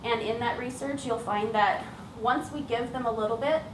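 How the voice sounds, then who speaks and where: A woman's voice: on the high side, moderate pace. A woman teacher giving an informal, explanatory public presentation about her work, speaking as a lead trainer. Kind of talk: public presentation, semi-prepared talk. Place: echoey room at an event. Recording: roomy, distant mic, clearly noisy background.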